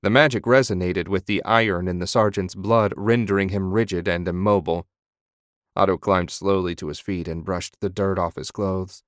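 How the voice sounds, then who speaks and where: A man narrating a fantasy audiobook in measured storytelling. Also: low-pitched, steady tone, no room sound, studio quality.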